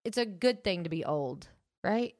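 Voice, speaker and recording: A woman in her thirties chatting casually with an old friend. She tells stories in an animated way, laughs often and acts out what other people said. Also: fairly low pitch, normal speed. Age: 30s. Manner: animated storytelling, frequent laughter. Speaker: co-host. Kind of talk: casual chat between friends.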